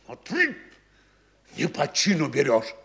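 A man's voice: fairly high pitch, huge pitch swings, slow, fairly bright tone, slightly rough, heavily acted. An actor playing a blustering, anxious town mayor in a stage comedy, bossy and flustered as he gives out orders. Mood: angry, scolding. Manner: bossy, flustered, changes orders mid-sentence. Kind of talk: theatrical Russian stage comedy acting.

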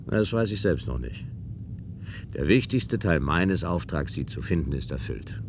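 Ein Schauspieler spielt im Hörspiel einen gewandten, flapsigen Regierungsreferenten, der höflich und ironisch plaudert. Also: male voice, deep voice, lively intonation, measured pace, heavily acted. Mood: explaining. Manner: breezy, ironic, chatty. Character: glib, flippant government aide, courteous and teasing. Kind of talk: German radio play acting. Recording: radio play with clear background noise.

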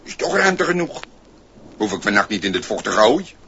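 Scruffy vagrant, cheeky, easily flustered, swooping intonation, exclamatory, old radio play, dull slightly noisy vinyl. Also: male voice, on the low side, very animated, moderate pace, sharp tone. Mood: delighted wonder. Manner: stammering when flustered, exclaiming, talking to himself. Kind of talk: 1960s promotional radio play acting. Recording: muffled, noisy 1960s vinyl single recording.

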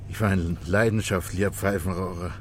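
An actor playing a respectable married man cornered by a murder accusation in a 1960s radio play, halting and emotionally strained. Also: low-pitched, steady tone, a little hiss or hum, close to an everyday voice. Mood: resigned.

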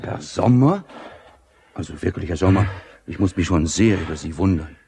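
Low-voiced, prim scandalized gentleman. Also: lively intonation, normal speed, gravelly, breathy edge, full character voice. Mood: indignant. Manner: prim, reproachful. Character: fussy, politely scandalized male washroom bystander. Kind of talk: German radio drama acting.

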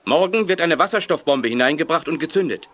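An actor playing a secret ally giving urgent warnings, terse and pressing, in a 1980s German radio play. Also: male voice, a little high, animated, brisk, fairly bright tone.

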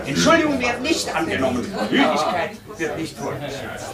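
High-pitched officious tour guide. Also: male voice, huge pitch swings, fairly quick. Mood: officious, jovial. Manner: declamatory, recited, formal. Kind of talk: radio play acting, guided tour patter. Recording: echoey, distant, loud crowd behind.

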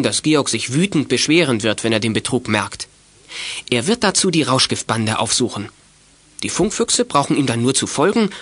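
A man's voice: mid-range voice, fairly bright tone, no echo. A man reads scripted, matter-of-fact narration for a children's detective audio drama. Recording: clearly noisy old audio play recording.

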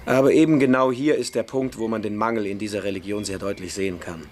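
A man's voice: on the low side, fairly even intonation, fast, darkish tone, slight background noise, lightly acted. A man playing a precocious schoolboy freethinker in a German radio play, emphatic, scornful and persuasive. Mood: ironic, insistent. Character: precocious, provocative schoolboy freethinker lecturing his younger friend.

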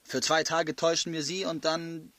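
An actor playing an educated, cheerfully defiant camp prisoner in a radio play, speaking lightly and nonchalantly. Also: male voice, fairly high pitch, little pitch movement, normal speed, pushed and bright, dry recording. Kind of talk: German radio play acting. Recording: studio radio play, music underneath.

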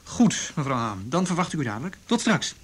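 An actor playing a careful juvenile police detective in a measured, reassuring, naturalistic radio drama manner. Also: male voice, a little high, sing-song, noisy background. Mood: polite, tactful.

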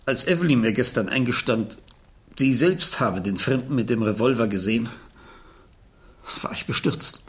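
Actor as a hesitant, reserved gentleman. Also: mid-range voice, close to an everyday voice. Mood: troubled, hesitant. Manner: hesitant, halting, searching for words. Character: troubled uncle, reserved gentleman explaining his suspicions. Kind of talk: 1970s German radio crime drama acting.

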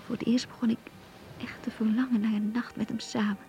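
An actress playing a shy, anxious young woman in love, reading her diary in a radio drama in an intimate, hesitant, breathy voice. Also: a little high, flat delivery, fairly quick, darkish tone, soft background music, close to an everyday voice. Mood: tender, yearning. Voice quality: husky, breathy, half-whispered.

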